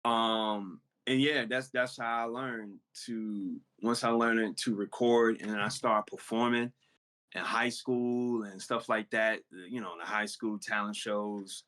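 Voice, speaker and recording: A man's voice: fairly low pitch, slow. Laid-back man rapper talking shop. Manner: relaxed, explains with many "you know what I'm saying". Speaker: rapper guest. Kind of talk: casual interview talk.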